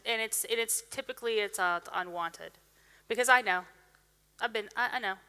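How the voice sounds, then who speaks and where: Brief: woman conference speaker, bright crisp voice, animated off-the-cuff Q&A, heard in a big echoing hall. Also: on the high side, moderate pace. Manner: animated, chatty, many restarts. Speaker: conference speaker taking questions. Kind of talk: casual Q&A after a talk. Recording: reverberant hall sound.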